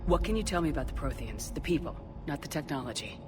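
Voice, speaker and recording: A man playing a resolute, compassionate military commander in a video game, speaking in a terse, serious, steady way. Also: on the high side, fast talker, over music, natural voice. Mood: serious, curious. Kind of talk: video game cutscene acting. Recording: clear ambient noise behind voice.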